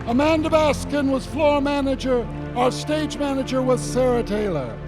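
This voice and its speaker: A man's voice: extremely high pitch, expressive, moderate pace. A man working as an announcer, reading in a scripted, formal announcement style. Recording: live stage recording, music behind.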